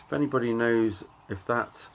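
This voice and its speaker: A middle-aged man giving a casual show-and-tell of his collection, talking in a halting, offhand way and reading out titles in short bursts. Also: medium pitch, a little hiss or hum. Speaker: record collector and channel host.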